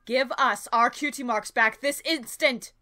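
A woman voicing a concerned, regal cartoon alicorn princess in an earnest, deliberate manner. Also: a little high, bright, forceful tone.

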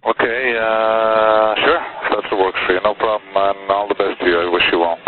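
A man's voice: lowish voice, level tone, normal speed. A male airline pilot chatting casually over the cockpit radio. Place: aircraft flight deck. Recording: noisy, narrow-band cockpit radio.

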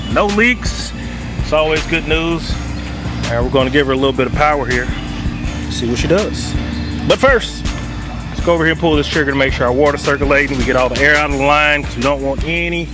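A man's voice: on the high side, a little fast, music in the background. Upbeat man unboxing gear outdoors. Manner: upbeat and conversational, narrating each step. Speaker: pitmaster and channel host. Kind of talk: casual product unboxing and review. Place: outdoors in a yard or driveway.

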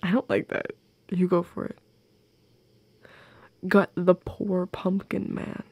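Soft creaky-voiced teasing goth girlfriend, played by a woman. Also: fairly low pitch, level tone, takes it slowly, no room sound, highs cut off. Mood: playful. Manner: teasing, coy, giggly. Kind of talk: intimate audio roleplay addressed to the listener.

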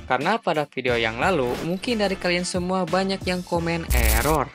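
A young man narrating a tutorial in an informal, chatty, slangy way as a YouTube host. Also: high-pitched. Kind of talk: casual screen-recording tutorial narration. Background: background music.

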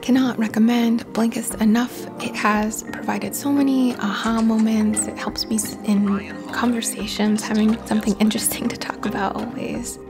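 A woman host softly whispering a sponsor read in an unhurried, breathy ASMR style. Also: fairly high pitch, little pitch movement. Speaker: video host reading a sponsor message. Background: music.

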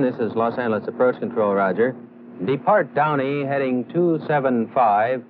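A man's voice: mid-range voice, lightly acted. Male actor as impersonal radar controller for vintage film, mid-range voice, clipped phraseology over thin radio audio. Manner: procedural, impersonal. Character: brisk male approach controller giving radio instructions. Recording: narrow radio sound, faint hiss.

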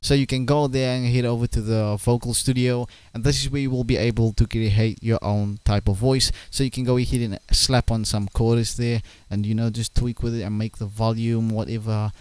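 A young adult man narrating a software tutorial as a video creator. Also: medium pitch, level tone, normal speed, few pauses, clear, resonant tone, dry recording. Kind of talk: upbeat software tutorial voice-over.

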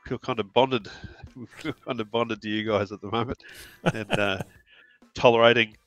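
A man in his forties with a New Zealand accent, a guest speaking in a relaxed, halting way over a headset on a video call. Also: medium pitch, dry recording, rough recording. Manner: relaxed, halting, many fillers. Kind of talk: casual video-call interview. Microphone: headset mic on a video call.

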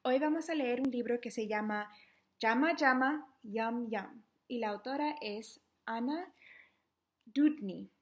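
A young adult woman reading a children's book aloud interactively, in an animated, playful, questioning manner. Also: on the high side, takes it slowly. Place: small living room at home, indoors.

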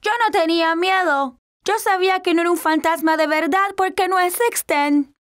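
A woman's voice: very high voice, moderate pace, dry recording, clean studio sound. A woman voicing an eager, curious four-year-old boy in a children's cartoon dub. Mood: curious, unsure.

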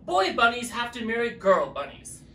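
Drag performer putting on a loud, bossy stink bug villain. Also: mid-range voice, sing-song, moderate pace, voice pushed up. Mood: bossy, commanding. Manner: loud, domineering, declarative. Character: bossy, scary, self-important male stink bug villain. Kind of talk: children's storybook character voice. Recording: roomy, distant mic, faint noise.

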